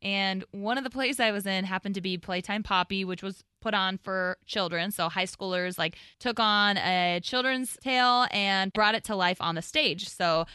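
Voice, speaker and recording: A woman co-hosting a radio show, telling stories in giggly, animated casual chat from a studio. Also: medium pitch, normal speed, hardly any pauses, clear, resonant tone, no room sound.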